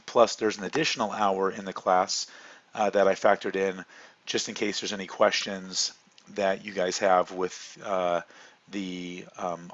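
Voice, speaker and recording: A man with a hoarse voice from a cold, a chemistry instructor giving a relaxed, rambling course introduction full of fillers. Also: on the low side, dull audio.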